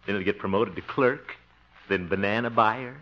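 An actor playing a blustery, hopeful older cousin in a 1950s radio sitcom, exclaiming theatrically. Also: male voice, fairly low pitch, normal speed, close to an everyday voice. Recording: muffled, hissy 1950s radio recording.

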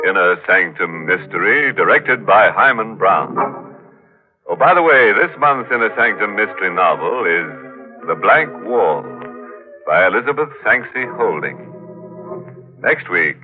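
Deep, slow, sly horror host. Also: male voice, lively intonation. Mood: mock-sinister. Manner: tongue-in-cheek, mock-sinister, savouring pauses. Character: sly, macabre male horror host with gleefully morbid humour. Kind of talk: 1940s radio mystery hosting. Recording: vintage 1940s radio recording, narrow band. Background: music.

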